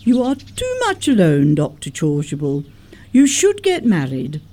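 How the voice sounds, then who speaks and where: A woman's voice: on the low side, huge pitch swings, unhurried, warm tone, full character voice. A woman playing a prim middle-aged governess, pompous, moralizing and coyly flirtatious, in a theatrical radio comedy of manners. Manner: pompous, precise, emphatic.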